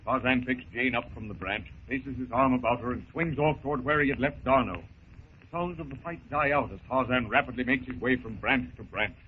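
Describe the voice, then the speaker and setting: A man's voice: medium pitch, a little fast, lightly acted. A man performing as the narrator of a 1930s jungle adventure serial, grave and scripted. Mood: dramatic, suspenseful. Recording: tinny, hissy 1930s radio recording.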